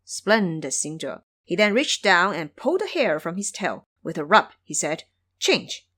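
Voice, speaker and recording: A woman narrating an audio drama as an expressive, scripted storyteller. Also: fairly low pitch, normal speed, no echo.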